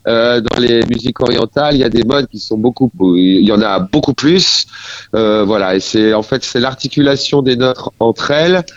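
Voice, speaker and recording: A man in his forties from France, a musician speaking as a guest in a casual radio interview, halting and searching for his words. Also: medium pitch, no room sound, muffled recording.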